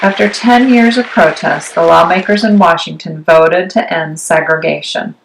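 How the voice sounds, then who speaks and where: Low-pitched woman reading a picture book. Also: moderate pace, gravelly, slight background noise, poor audio. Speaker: read-aloud storyteller. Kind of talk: scripted children's picture book narration. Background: music behind the voice.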